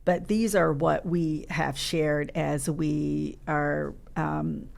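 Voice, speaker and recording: Middle-aged woman guest; low, fry-edged voice, reflective and unhurried. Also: steady tone, darkish tone. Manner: reflective, searching for words. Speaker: podcast guest. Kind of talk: casual interview chat. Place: podcast studio.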